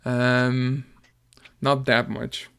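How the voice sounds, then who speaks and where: Young man, danish accent, relaxed, chuckles a lot, home studio. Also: medium pitch, very slow, vocal fry, clean tone. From Denmark. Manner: laid-back, laughs often, hesitant restarts. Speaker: music producer streamer. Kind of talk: casual chat while producing.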